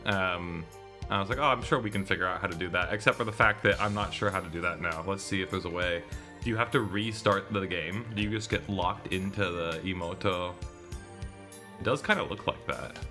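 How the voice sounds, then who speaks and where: A man's voice: low voice, brisk, sharp tone, soft background music. A man in his thirties hosting a live stream, chatting casually in a rambling, digressive way and often correcting himself. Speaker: live streamer hosting a visual novel readalong. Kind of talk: casual livestream chat.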